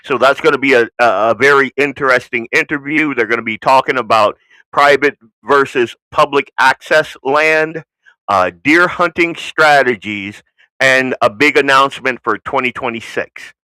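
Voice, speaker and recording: The blunt, folksy man you would hear hosting an evening political show from a dry, close studio, bright and punchy, his American accent flattened from Southern roots. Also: mid-range voice, normal speed, smooth, no echo, poor audio. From United States. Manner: opinionated, blunt, folksy, rambling asides. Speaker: talk show host. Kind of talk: unscripted political talk show monologue.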